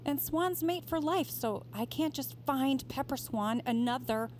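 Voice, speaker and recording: A woman's voice: sits high, a little slow, a little hiss or hum. An actress playing a fretful, restrained mother in an audio drama. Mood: wistful, restrained. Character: fretful mother fond of her precious collectibles.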